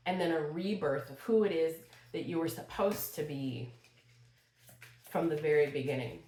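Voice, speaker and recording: A woman giving a channeled tarot reading to her viewers, speaking in a slow, spiritual way and sniffling a little from a cold. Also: low voice, darkish tone. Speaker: tarot reader. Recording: echoey room.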